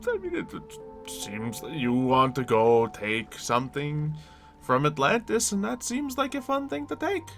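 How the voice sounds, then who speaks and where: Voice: mid-range voice, wildly swooping pitch, a little slow, a bit bright, heavily acted. A performer in a Minecraft roleplay playing an eccentric, mischievous schemer with a theatrical, slightly formal and playful way of talking. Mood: eager, scheming. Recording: music behind the voice.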